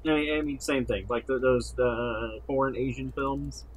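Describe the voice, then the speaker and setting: A man chatting casually as a podcast co-host, halting and often stammering over repeated words. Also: mid-range voice, fairly even intonation, over a noisy crowd, low-quality recording. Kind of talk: casual chat.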